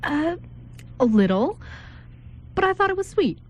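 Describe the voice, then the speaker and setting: Woman playing a playful groom, swooping high voice. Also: sing-song, measured pace, darkish tone. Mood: reassuring. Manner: playful, reassuring, theatrical. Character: teasing, encouraging male groom, loyal buddy. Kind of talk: amateur radio play script reading.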